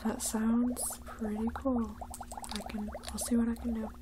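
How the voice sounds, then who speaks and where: Woman acting as a slow, soft-spoken reclusive witch. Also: little pitch movement, lightly acted. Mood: calm, obliging. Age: 20s. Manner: hesitant, low-key, halting. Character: reclusive young witch, awkward, guarded, obliging. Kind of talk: soft-spoken ASMR roleplay. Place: indoors, small set with painted backdrop. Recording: clear background noise, bubbling ambience.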